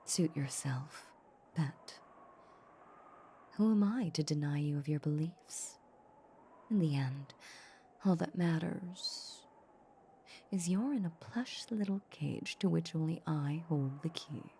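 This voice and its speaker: A woman playing a seductive, possessive female vampire in an intimate roleplay audio drama, teasing and condescending in a breathy half-whisper. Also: deep voice, a little hiss or hum. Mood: taunting, possessive. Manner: slow, teasing, condescending purr. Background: soft ambient sound effects.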